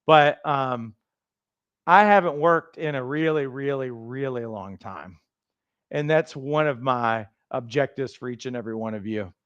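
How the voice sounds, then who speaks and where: Fast-talking raspy male coach. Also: medium pitch, a bit bright, dry recording, highs cut off. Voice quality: raspy from days of training. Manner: fast-talking, emphatic, motivational. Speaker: life coach trainer hosting a livestream. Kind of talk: energetic sales pitch on a livestream.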